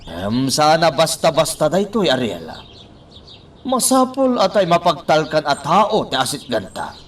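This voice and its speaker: A man's voice: a little high, huge pitch swings, measured pace, far from the mic, a little hiss or hum. An actor playing a scheming fugitive who confides in his partner, in an Ilocano radio drama. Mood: plotting.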